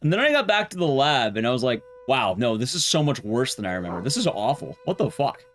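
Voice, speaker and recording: A man's voice: medium pitch, big pitch swings, fast, fairly bright tone. A man streaming a game, chatting casually in a rambling, blunt, conversational way with his viewers. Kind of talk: casual live-stream chat while gaming.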